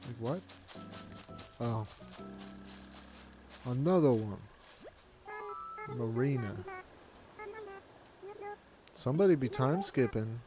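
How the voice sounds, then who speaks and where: A man's voice: mid-range voice, expressive, takes it slowly, pauses often, over music. A man streaming a video game live, chatting casually and answering viewers' comments aloud in a laid-back way.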